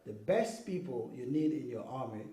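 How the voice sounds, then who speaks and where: Man explaining stuff earnestly, warm dark voice, echoey room. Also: mid-range voice, lively intonation, moderate pace. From Congo (Kinshasa). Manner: earnest, checks in with "okay?" and "you know what I mean?". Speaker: interview guest. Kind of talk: explanatory interview talk. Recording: roomy echo.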